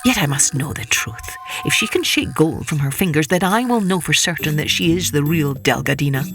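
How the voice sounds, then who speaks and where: A woman voicing a dignified, courteous male king in a storybook tale, with a measured and stately manner. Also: deep voice, animated, music in the background, pitched below the performer's own voice. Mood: thoughtful, doubting. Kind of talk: storybook character voice.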